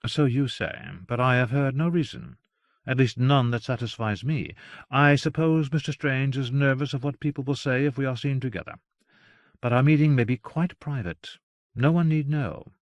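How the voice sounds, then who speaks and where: A man voicing a lonely, bitter wealthy lady who speaks coolly and measured. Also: mid-range voice, no echo, muffled recording, pitched above the performer's own voice, natural voice. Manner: cool, clipped and measured.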